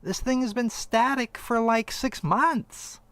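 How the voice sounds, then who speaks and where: A man's voice: extremely high pitch, slow, fairly bright tone, dry recording. A man co-hosting a casual podcast, reacting to a game trailer with sarcastic disbelief. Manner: sarcastic, incredulous. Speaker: podcast co-host. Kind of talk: casual chat reacting to a video.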